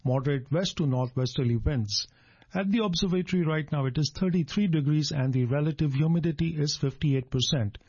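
A man's voice: fairly high pitch, fairly quick, a little mellow, husky, highs cut off. A man reading a scripted radio news bulletin as the presenter.

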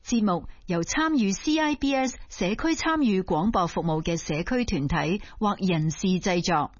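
A woman's voice: medium pitch, animated, moderate pace, no room sound, muffled recording. A woman station announcer giving a formal schedule announcement.

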